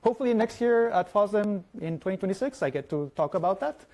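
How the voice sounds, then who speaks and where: A man in his thirties with a Mexican Spanish accent, a native Spanish speaker, giving a fast, lively conference talk and often asking the audience questions. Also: high voice. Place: large lecture hall.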